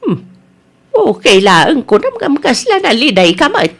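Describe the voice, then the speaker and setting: Radio drama: stern, aggrieved wife and worried mother, high-pitched, reproachful. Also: wildly swooping pitch, measured pace, heavily acted. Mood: concerned. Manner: firm, reproachful.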